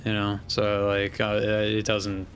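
A young man streaming a game from a small room on a headset, mostly monotone and self-deprecating, swearing and answering chat. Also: fairly low pitch, slow, a little hiss or hum.

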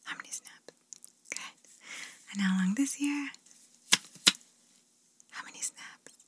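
A woman whispering slowly and softly as she plays an examiner in an ASMR roleplay, repeating gentle praise into a close binaural mic. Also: high voice. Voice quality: whispering, mostly unvoiced. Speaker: ASMR creator playing an examiner.

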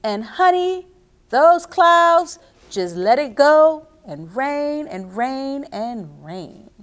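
Slow-paced woman hosting children's story time. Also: sits high. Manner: expressive, addresses young listeners directly. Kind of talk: children's read-aloud and instruction. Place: small indoor reading nook with bookshelves.

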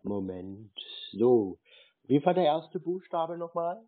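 A man on a prank phone call, stalling and acting slow-witted as he fumbles along with instructions. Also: on the high side, sing-song. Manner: stalling, deliberately slow-witted, silly spelling words. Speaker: scam-baiter playing a clueless victim on a phone call. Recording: tinny phone line.

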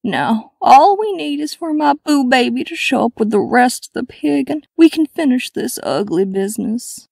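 A fussy mother bear for a comic dub. The voice is high and crackly, full of complaint, with a dry, close sound. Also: very animated, normal speed, gravelly, hardly any pauses, darkish tone, no room sound, exaggerated voice. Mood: impatient. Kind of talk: comic dub character acting.

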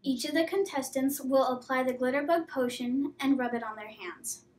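A woman's voice: high-pitched, normal speed, distant mic, a little hiss or hum. A young girl hosting a homemade contest video in a classroom, with cheerful scripted announcing and playful asides. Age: child. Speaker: host of a kids' contest video. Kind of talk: scripted presenting. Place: classroom with whiteboard. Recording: echoey classroom, camera from off.

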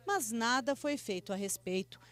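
A Brazilian woman reads a news voice-over in a measured reporter cadence. Her voice sits a little higher than average. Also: expressive, a bit bright. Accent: Brazilian Portuguese.

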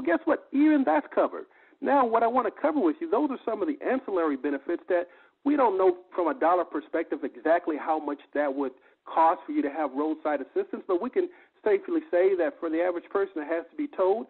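The high, raspy-edged male voice you'd hear dialing into an evening business opportunity call, emphatically selling point after point. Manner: emphatic, repetitive, rhetorical questions. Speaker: sales presenter on a conference call. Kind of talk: sales pitch explaining benefits. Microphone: telephone. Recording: muffled phone conference line, lo-fi.

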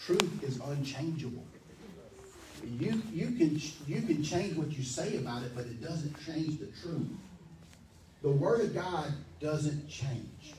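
Pastor, male, forties: high, lively, emphatic sermon delivery into a handheld mic, heard from across an echoey church hall. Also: far from the mic, slight background noise. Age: 40s. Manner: animated, emphatic, pacing the stage. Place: church hall, medium-sized, indoors.

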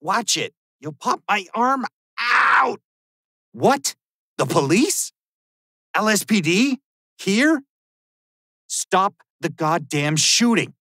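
An actor playing an arrogant, sleazy middle-aged American rich criminal, blustering and evasive in video game lines. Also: male voice, high voice, big pitch swings, slow, long pauses, sharp tone, slightly rough, no echo, clean studio sound, full character voice. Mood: panicked, outraged. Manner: foul-mouthed, blustering. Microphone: close studio mic, dry.

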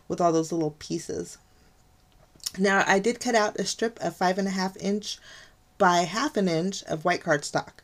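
A middle-aged woman hosting a card-making tutorial, talking chattily through each step with lots of ums and gushing over how pretty things look. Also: on the low side. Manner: chatty, gushing, frequent ums and light laughs. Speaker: card-making channel host. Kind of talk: casual crafting tutorial voice-over. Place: craft desk, overhead camera on hands.

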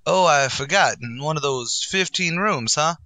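A sardonic tavern waiter. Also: male voice, on the high side, expressive, normal speed, sharp tone, dry recording. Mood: sarcastic. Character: dry-witted male tavern waiter, sardonic. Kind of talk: 1940s radio comedy acting.

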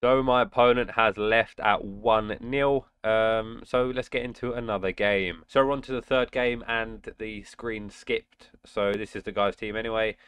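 A man's voice: fairly low pitch, measured pace, few pauses, smooth, no echo. Nasal young man commentating a football game. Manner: excitable outbursts during play, muttering between. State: nasal from a cold. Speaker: gaming channel host. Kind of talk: casual gameplay commentary. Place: indoor room at home.

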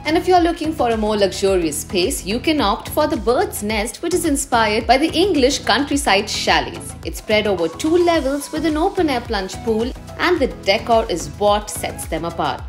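A woman delivers polished, expressive promotional narration. Her fairly high voice rises and falls in lively swoops. Music plays lightly underneath. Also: moderate pace, few pauses. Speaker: voice-over narrator. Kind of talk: scripted promotional travel narration. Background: background music.

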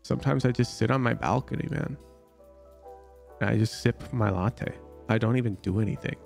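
A man streaming live, chatting casually with his viewers while reviewing gameplay, in an animated way that jumps to loud exclamations. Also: medium pitch, moderate pace, creaky, faint music behind.

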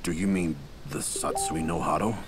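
An actor voicing a stoic, reserved martial arts wanderer in a video game, grave and terse. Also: male voice, sits low, fairly even intonation, normal speed, background noise, lightly acted. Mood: calm, curious. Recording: loud music behind the voice.

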